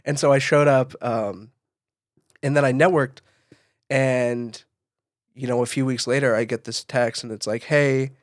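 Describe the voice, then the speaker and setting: A man's voice: mid-range voice, fairly even intonation, measured pace, pauses often, no room sound, studio quality. A man co-hosting a podcast, telling stories in a casual, informal way and acting out bits of conversation. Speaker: podcast co-host, event photographer. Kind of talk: casual podcast chat, storytelling.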